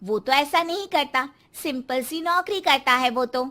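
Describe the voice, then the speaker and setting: Sweet but pointed young cartoon sister-in-law, performed by a woman, high and crisp-toned. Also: a little slow, pushed and bright. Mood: politely pointed. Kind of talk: Hindi family cartoon dubbing.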